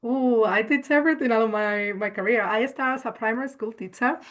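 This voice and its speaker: A woman's voice: fairly high pitch, fairly quick, a bit bright. A woman from Spain with a Spanish accent, answering questions on an online panel in a chatty, enthusiastic way, often tagging on "right?". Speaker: cybersecurity analyst guest.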